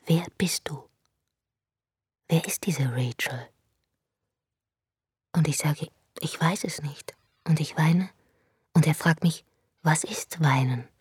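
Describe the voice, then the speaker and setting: A weary woman writer in a radio drama, turned inward and quietly searching. Her low, very husky voice stays hushed and close, almost a whisper. The sound is completely dry, with no room around it. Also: dry recording, exaggerated voice. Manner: hushed, near-whispered inner monologue. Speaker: main character and narrator of a radio drama. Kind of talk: radio drama with inner monologue.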